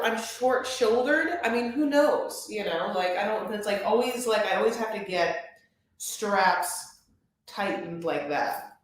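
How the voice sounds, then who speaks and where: A woman hosting a casual live stream about reality TV, chatting in a rambling way with many fillers and restarts. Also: a little high. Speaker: reality TV recap livestream host. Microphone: webcam or phone on a live stream. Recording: echoey room.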